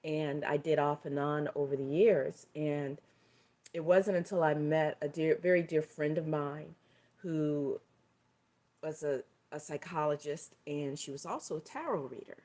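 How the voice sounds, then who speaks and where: A middle-aged woman with a low, husky-dry voice talks on her live stream. She has a touch of hoarseness, and she rambles easily, circling back and fixing her words as she goes. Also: a little slow, darkish tone. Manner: loose and rambling, with fillers and self-corrections. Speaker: live stream host and tarot reader. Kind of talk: casual spoken livestream talk.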